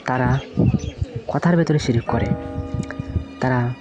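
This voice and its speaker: A man's voice: medium pitch, slow pace, lo-fi sound, natural voice. A man voicing a pious, stern uncle in a religious cartoon, admonishing his nephew in a didactic way. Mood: preaching, stern. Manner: didactic, questioning. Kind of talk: scripted religious cartoon dialogue and preaching. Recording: music behind the voice.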